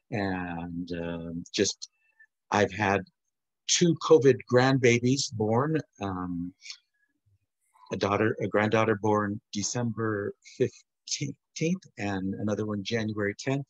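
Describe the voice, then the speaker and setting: An older man with a husky voice, talking in a chatty, rambling way. His voice sits fairly low. The sound is dry and close. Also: no room sound, poor audio. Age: 60s. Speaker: musician and alumnus. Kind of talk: casual video call chat. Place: home. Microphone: video call.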